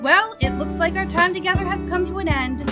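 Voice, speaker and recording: A woman's voice: very high voice, a little fast, loud music behind the voice, pitched above the performer's own voice. A woman voicing an affectionate, cheerful angel mother in a storybook reading. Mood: reminiscing. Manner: affectionate, chatty. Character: warm, cheerful angel mother of grown daughters.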